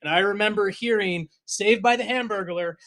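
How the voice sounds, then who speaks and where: Male co-host, American, high voice, halting casual storyteller who doubles back on phrases. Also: fairly bright tone. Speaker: podcast co-host, sports journalist.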